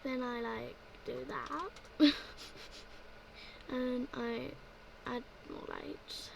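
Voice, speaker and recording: A woman's voice: high-pitched, steady tone, very slow, gravelly, background noise. A young woman narrating her gameplay in a casual voice-over, rambling and filling gaps with "like, yeah". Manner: rambling, filler-heavy, repeats "like, yeah". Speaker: gaming video creator.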